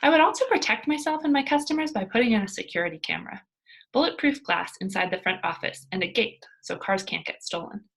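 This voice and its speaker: A woman's voice: medium pitch, expressive, fast talker, creaky, fairly bright tone. A woman voicing an earnest young girl reading out her heartfelt essay in a storybook, sincere and emphatic. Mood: earnest, hopeful.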